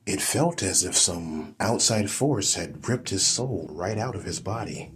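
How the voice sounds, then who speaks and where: A man's voice: low-pitched, lively intonation, unhurried, a bit bright, slightly rough. A man reading scripted fantasy audiobook narration as the storyteller.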